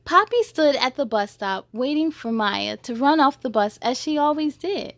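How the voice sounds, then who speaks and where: High-voiced woman reading a storybook aloud. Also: expressive, moderate pace, fairly bright tone, no room sound. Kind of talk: expressive children's picture book reading with short asides.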